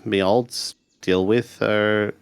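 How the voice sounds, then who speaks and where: A skeptical, laid-back schoolboy, played by a man in a tabletop mystery game. The voice is fairly low, with a dry and hesitant delivery. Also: steady tone, slow pace, gravelly, smooth, no echo. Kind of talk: improvised in-character tabletop roleplay.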